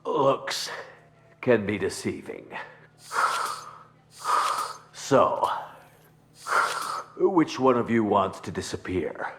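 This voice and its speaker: A cowardly crook from a video game. He drags his words out slowly, with big swings in pitch. The bluster is grand but nervy and quick to fold. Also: expressive. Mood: smug. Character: shifty, cowardly male criminal underling, blustering, easily cowed.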